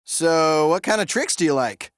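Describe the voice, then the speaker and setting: Sly, low-voiced dangerous game woman. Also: expressive, normal speed. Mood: teasing menace.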